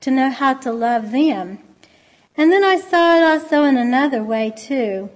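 A soft-spoken woman giving an informal church talk, gentle and conversational, sometimes pausing to restart a thought. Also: high voice, lively intonation. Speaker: church speaker. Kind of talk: informal sermon.

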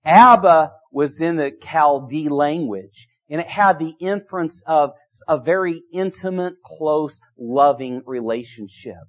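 A man's voice: fairly high pitch, unhurried, few pauses. A middle-aged man preaching a sermon, emphatic and repetitive, his volume rising and falling. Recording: dull lo-fi sound.

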